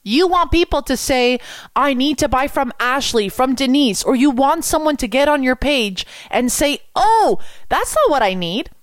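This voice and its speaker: A young woman from Quebec, a French speaker, coaching about business with energetic rhetorical questions. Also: sits high, moderate pace, no room sound. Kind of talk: coaching talk to camera.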